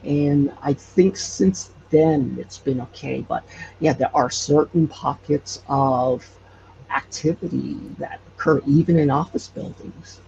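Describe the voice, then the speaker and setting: A man explaining things in casual interview talk, as a podcast guest, in a halting way. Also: on the high side, creaky, mellow. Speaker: podcast guest, local storyteller. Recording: muffled, with faint background noise.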